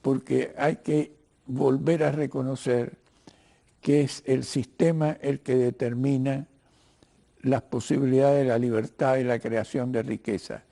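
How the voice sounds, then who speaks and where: An older man with a Cuban-Argentine Spanish accent, speaking haltingly and professorially as a guest in a political interview, often repeating words as he searches for the next. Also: medium pitch, fairly even intonation, gravelly, mellow. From Cuba. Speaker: interview guest, writer and lawyer. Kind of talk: political discussion in a broadcast interview.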